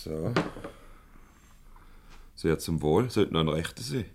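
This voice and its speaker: Self-assured Swiss German boss, fairly low, measured, politely probing, radio comedy. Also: male voice, expressive, vocal fry, background noise, heavily acted. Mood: hospitable. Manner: measured, jovially formal. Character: urbane, probing company director, hospitable and self-assured. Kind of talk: 1970s comedy radio play acting.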